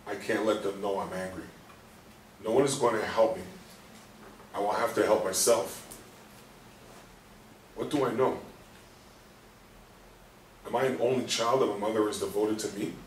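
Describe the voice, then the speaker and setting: An actor playing an angry, frustrated hospital patient in a stage play reading, anguished, demanding and given to swearing. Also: male voice, fairly low pitch, fairly even intonation, normal speed, pauses often, close to an everyday voice. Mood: brooding, musing. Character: angry, frustrated male hospital patient trapped after a coma. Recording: roomy distant recording with faint noise.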